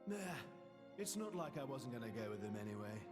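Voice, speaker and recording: A man's voice: mid-range voice, sing-song, brisk, close to an everyday voice. A man playing a cheeky, irreverent but loyal young prince in a video game, with a flippant, casual way of talking. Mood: teasing, offhand. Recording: loud music over the voice.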